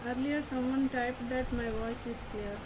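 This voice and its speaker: A woman teaching an online class from her laptop, her voice sitting a little high. She repeats points and keeps asking whether her students can hear her. A clear fan hum runs under the whole home recording. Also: fairly even intonation, a little slow. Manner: repetitive, checks on listeners often. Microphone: laptop mic. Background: fan noise.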